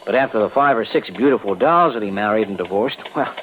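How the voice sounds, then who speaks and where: An actor playing a genial, chatty insurance executive with a folksy, familiar manner in a vintage radio drama. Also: male voice, lowish voice, animated, fast talker, lightly acted. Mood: wry, gossipy. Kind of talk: 1950s-60s radio drama acting. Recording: tinny vintage radio recording.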